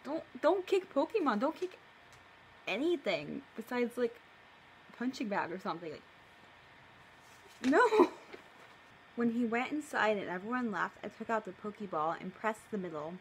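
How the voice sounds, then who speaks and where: A woman's voice: fairly high pitch, sing-song, moderate pace, pauses often, a little hiss or hum, poor audio. A young woman casually reading her own story aloud on camera, breaking off for playful, mock-indignant asides. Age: young adult. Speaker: video creator. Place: living room at home, indoors.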